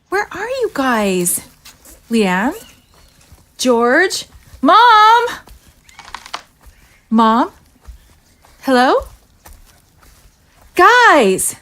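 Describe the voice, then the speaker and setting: An actress playing an anxious, emotional teenage daughter in an audio drama. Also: female voice, very high voice, fairly bright tone, heavily acted. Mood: worried searching. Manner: emotional, pleading, accusing. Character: anxious, searching teenage daughter confronting her sick mother.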